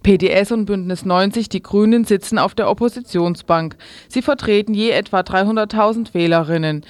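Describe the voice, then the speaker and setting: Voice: mid-range voice, fairly quick, no room sound. Newsreader with a dry, close sound. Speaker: radio newsreader. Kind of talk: scripted news reading.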